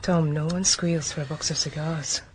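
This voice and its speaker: An actress playing a caring, loyal policewoman in a radio drama, speaking in a gentle, coaxing way. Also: low voice, fairly even intonation, moderate pace, background noise, close to an everyday voice. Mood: concerned, coaxing. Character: caring, concerned policewoman, loyal to her colleague. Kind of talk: naturalistic radio drama dialogue.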